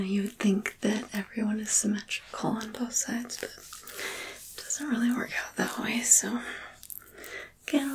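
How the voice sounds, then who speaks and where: A young woman speaking softly and breathily, often whispering, in a gentle, reassuring ASMR roleplay as a wardrobe fitter, close to the microphone. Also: mid-range voice, low-quality recording. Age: young adult. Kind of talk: soft-spoken ASMR roleplay, personal attention.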